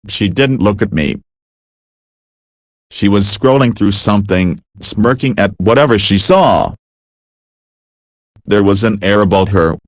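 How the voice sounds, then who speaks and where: Deep-voiced man narrating, slow and deliberate. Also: no room sound. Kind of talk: scripted first-person story narration.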